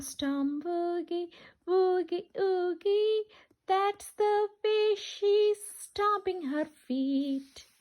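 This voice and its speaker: A woman doing an interactive, sing-song read-aloud of a children's picture book, with repetitive, questioning delivery for young children. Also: very high-pitched. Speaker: children's storytime reader.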